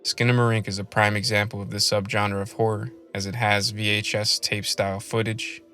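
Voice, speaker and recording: A man hosting a scripted film review video essay in measured narration. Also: on the low side, flat delivery, bright, forceful tone, faint music behind.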